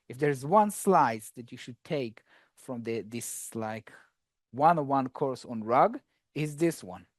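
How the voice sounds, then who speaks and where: A man's voice: on the high side, darkish tone, no echo, studio quality. A man giving a casual, chatty technical talk with a live coding demo to a conference audience, joking with the room as he goes. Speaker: conference speaker.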